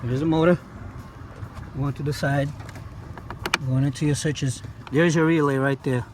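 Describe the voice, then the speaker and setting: A man's voice: on the high side, steady tone, measured pace, background noise. A man from Brazil with a Brazilian Portuguese accent, casually explaining a car repair while working and filming on a phone in one hand, with loose, repetitive stop-start talk. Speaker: DIY car repair youtuber. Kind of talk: casual how-to demonstration. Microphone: phone held in one hand.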